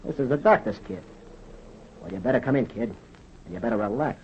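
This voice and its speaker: An actor playing a menacing, smug tough crook in a 1930s radio drama, gruff and sardonic. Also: male voice, medium pitch, animated, brisk, natural voice. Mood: smug menace. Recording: muffled, hissy 1930s radio recording.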